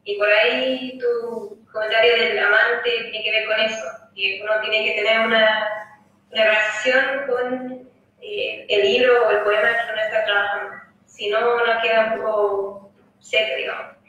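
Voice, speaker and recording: A woman's voice: on the high side, level tone, moderate pace, sharp tone, very echoey, highs cut off. Young American woman over a distant video call. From United States. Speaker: guest translator. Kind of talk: interview.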